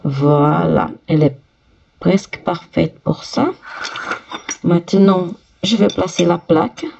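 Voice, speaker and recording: Slow, gravelly woman with a cold. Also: on the low side, mellow, dull audio. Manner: slow, careful, talks viewers through each step. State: has a cold, stuffy voice. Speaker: sewing machine instructor. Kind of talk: step-by-step workshop demonstration.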